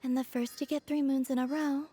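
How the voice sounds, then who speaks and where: A woman voicing a serene young moon goddess in a video game, soft-spoken, composed and unhurried. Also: high voice, little pitch movement, lightly acted. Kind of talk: animated video game voice acting. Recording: faint music behind the voice. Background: faint game music.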